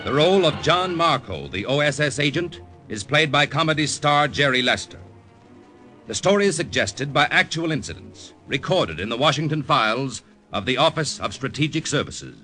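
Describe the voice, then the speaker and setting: A man announcing a 1950s radio drama in a measured, emphatic, scripted manner. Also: a little high, expressive. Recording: old radio recording with loud music.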